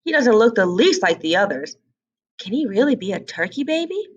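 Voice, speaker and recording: Woman acting a mother duck, storybook character, weary but proud, bossy, lively pitch swings, dull lo-fi sound. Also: brisk, husky, recorded from a distance. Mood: doubtful, determined. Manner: exclaiming, instructing, chatty.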